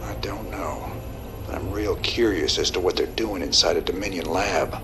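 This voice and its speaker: An actor playing a rugged, wry rebel commander in a sci-fi game, laconic and folksy. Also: male voice, low-pitched, moderate pace, background noise, natural voice. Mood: curious, wary. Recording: game soundtrack music behind.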